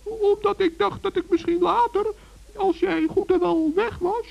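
A man playing a small, kind-hearted forest gnome in a children's radio play, hesitant and innocent in a creaky, squeaky voice. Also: steady tone, a little slow, heavily acted. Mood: evasive, sheepish. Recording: dull vintage radio recording.

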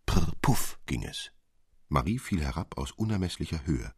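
A man reading a literary fairy tale as an expressive narrator. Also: a bass voice, normal speed, slightly rough, no echo, studio quality. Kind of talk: expressive scripted storytelling.

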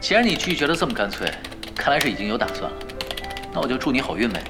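A laid-back veteran gamer in a radio drama, confident and teasing. His medium-pitched voice moves freely up and down over a clear music bed. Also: sing-song, fast talker. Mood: calm, confident. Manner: nonchalant, teasing. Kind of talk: naturalistic audio drama dialogue. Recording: music behind the voice.

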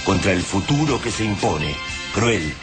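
Deep voiced guy narrating a radio drama, grave and portentous, music behind him. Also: big pitch swings, unhurried.

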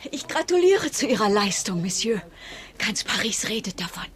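A woman's voice: medium pitch, sing-song, normal speed, a bit bright. An actress playing a courtly aristocratic Frenchwoman in a period radio drama. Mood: gracious.

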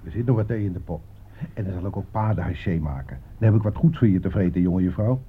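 An actor playing an earthy, grumbling army cook, a blunt and superstitious old hand of the front, in a radio play. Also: male voice, deep voice, animated, fast talker, breathy edge. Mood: gruffly kind. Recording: thin phone-like old radio recording.

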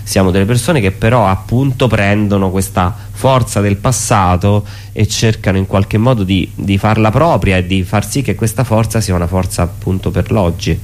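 A man talking reflectively as a guest in a radio interview, haltingly searching for words. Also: fairly low pitch, darkish tone. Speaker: theatre performer and guest.

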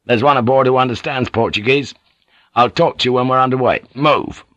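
A man voicing a commanding English sea captain who barks curt, sharp orders. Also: mid-range voice, a little fast, no echo, dull audio, pitched above the performer's own voice, natural voice.

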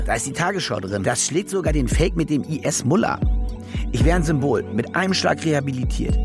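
An actor playing a cynical, self-justifying German war reporter in a radio drama, wry and rambling, with hesitations and restless bursts. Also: male voice, medium pitch, lightly acted. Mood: excited, cynical. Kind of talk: naturalistic radio drama monologue and dialogue.

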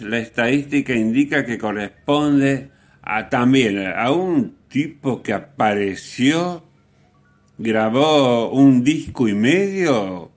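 A man with an Argentine accent hosting a casual radio talk about music, halting and repeating phrases for emphasis. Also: mid-range voice. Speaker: radio host.

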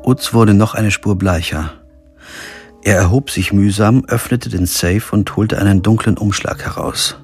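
An actor playing a brooding, weary middle-aged lawyer who narrates his own investigation in a measured, introspective way in a radio crime drama. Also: lowish voice, breathy edge, soft background music. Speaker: narrator and lead. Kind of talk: scripted first-person narration and dialogue in a radio crime drama.

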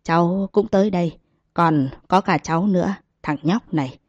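Woman voicing a gentle old grandfather. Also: lowish voice, moderate pace, no room sound, lo-fi sound. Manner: soft, calm. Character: elderly grandfather, gentle but stern patriarch.

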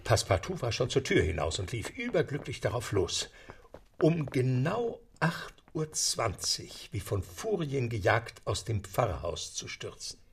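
A man's voice: mid-range voice, huge pitch swings, a little slow, breathy edge. A man narrating a radio play in a vivid storytelling manner.

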